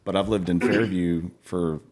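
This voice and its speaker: A man's voice: low voice, fairly even intonation, a little slow, a little mellow. A man, hosting a casual podcast chat, loose and profane, laughing easily. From Middle Tennessee. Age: 40s. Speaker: podcast host.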